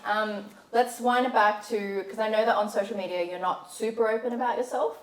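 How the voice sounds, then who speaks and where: A woman hosting a podcast, gently prompting her guest to share her background. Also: on the high side, steady tone, fairly quick, background noise. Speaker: podcast host. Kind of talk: interview. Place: home kitchen. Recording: distant, roomy kitchen echo.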